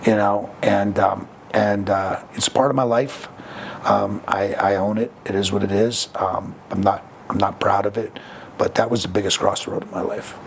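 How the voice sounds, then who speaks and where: A man speaks frankly as a podcast guest, his voice low and gravelly. He reflects on his past in an unhurried, conversational way, often pausing with fillers and restarts. A faint hiss sits under the recording. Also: steady tone. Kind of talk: candid conversational storytelling.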